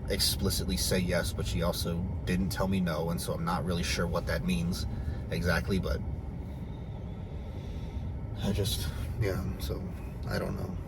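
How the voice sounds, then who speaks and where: A young man with a deep voice, vlogging a halting, confused personal rant full of restarts. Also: level tone, creaky, background noise.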